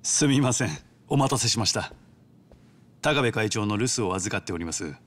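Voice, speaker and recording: A man's voice: lowish voice, animated, fairly bright tone. A man voicing a polite, calculating yakuza underboss in a video game, speaking formally and with cool composure. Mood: composed, deferential. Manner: formal, courteous, measured business-like speech. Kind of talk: dramatic video game voice acting.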